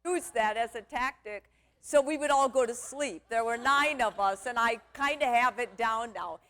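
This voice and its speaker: A middle-aged woman with a booming voice, a therapist giving a chatty, candid talk to a church conference. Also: moderate pace. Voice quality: loud, booming voice. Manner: chatty, candid, self-deprecating. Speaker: guest speaker, sex therapist. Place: church stage.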